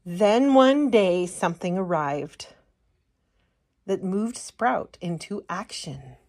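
A woman reading a children's picture book aloud as an expressive storyteller. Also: mid-range voice, slow pace. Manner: expressive storytelling, putting on character voices. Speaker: storytime reader.

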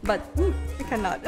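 A woman in her thirties from northeastern Thailand, a restaurant owner and chef speaking simple broken English with a Thai accent in a documentary interview, soft and earnest. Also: high-pitched. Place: indoor restaurant dining room. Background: background music.

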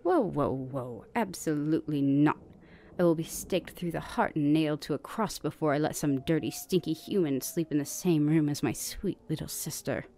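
A woman's voice: sits low, normal speed, mellow, a little hiss or hum. A woman playing a stern, haughty, protective older vampire sister in ASMR roleplay, with a formal, imperious manner. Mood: indignant, dry. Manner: formal, imperious, easily flustered.

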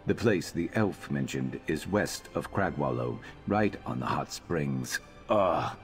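Very deep sardonic lizard-man mercenary. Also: slow, husky, heavily acted. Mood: musing. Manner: dry, deadpan, disdainful. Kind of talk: video game character dialogue. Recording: music behind the voice.

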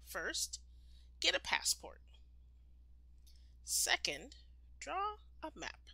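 Bright-voiced woman reading a picture book. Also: high voice, gravelly, no room sound. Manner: animated, deliberate, emphatic phrasing. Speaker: storytime reader, teacher. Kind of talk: expressive children's picture book read-aloud.

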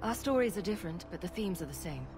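An actress playing a serious, guarded scientist who leads refugees in a video game, speaking in a measured, earnest way. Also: female voice, on the low side, expressive, close to an everyday voice. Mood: grave, guarded. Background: game music.

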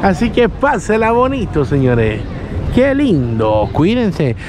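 A man's voice: sits high, very animated, slow pace. A young man with a Salvadoran accent, chatting casually as the host of a street vlog. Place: outdoors in a large city plaza. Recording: outdoor traffic and city noise.

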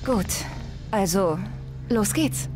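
A woman's voice: mid-range voice, lively intonation, slow. A woman playing a cautious, businesslike companion scout in a video game, purposeful and matter-of-fact. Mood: focused. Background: music.

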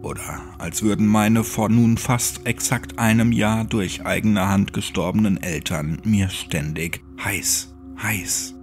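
A man's voice: fairly low pitch, measured pace, hardly any pauses. A man narrating a scripted horror audiobook in a brooding, dramatic manner. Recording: music under the voice.

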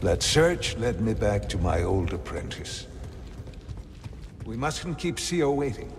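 An actor playing a kindly, scholarly old Jedi master in a video game, speaking in a courteous, measured, formal way. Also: male voice, on the low side, sing-song, a little hiss or hum. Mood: gentle, reflective. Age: older. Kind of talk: video game cutscene acting. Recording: music behind the voice.

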